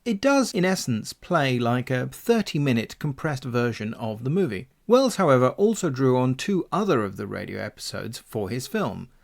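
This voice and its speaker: A man's voice: medium pitch, sing-song, normal speed, creaky, few pauses. A man hosting a podcast, reading scripted narration in a bookish, precise way. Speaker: podcast host.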